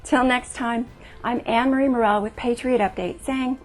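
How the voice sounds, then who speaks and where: A woman hosts interviews with a very high, light voice, chatty and playful as she asks her questions. Faint music sits underneath. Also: fairly even intonation, moderate pace. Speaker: host and interviewer. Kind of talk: upbeat interview hosting.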